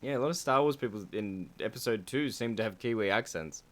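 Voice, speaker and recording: A man's voice: fairly low pitch, expressive, fairly quick. A man co-hosting a livestream, chatting and laughing easily while watching a show. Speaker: streamer co-host. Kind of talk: casual watch-along chat.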